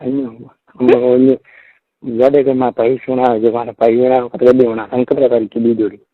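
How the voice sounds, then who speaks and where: A man's voice: mid-range voice, level tone, fast talker, no echo. A man with a Marwari accent chats casually on the phone, often repeating short questions and phrases. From Rajasthan, India. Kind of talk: casual private phone chat. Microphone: telephone. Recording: muffled lo-fi phone call recording.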